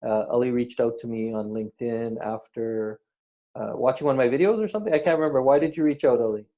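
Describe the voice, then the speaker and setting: A man's voice: medium pitch, fast talker, dark tone, muffled recording. A man hosting an interview podcast, speaking with frequent hesitations and fillers. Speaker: podcast host. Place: remote call.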